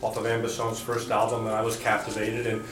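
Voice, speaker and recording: A man interviewing casually as an audio show reporter, speaking in an appreciative, halting way. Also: on the low side, completely flat delivery. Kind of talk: casual unscripted interview and commentary. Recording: roomy echo, music in background.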